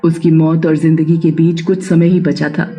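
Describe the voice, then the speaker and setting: A man's voice: fairly high pitch, flat delivery, moderate pace, warm tone. A man narrating a scripted horror story in a dramatic, suspenseful storytelling manner. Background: faint music.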